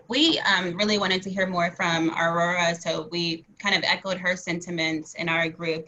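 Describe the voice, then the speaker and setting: Female organizer giving a group summary, forceful lowish voice, muffled lo-fi call. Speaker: nonprofit assistant director. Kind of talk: reporting back in a group discussion. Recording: dull, lo-fi online call audio.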